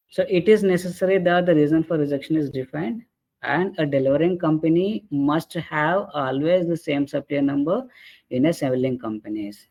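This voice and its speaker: A man presenting an explanatory software training webinar in a halting way, often checking in with short tag questions. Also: fairly high pitch. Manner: halting, repeatedly checks with "right?" and "okay". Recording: dull lo-fi webinar audio.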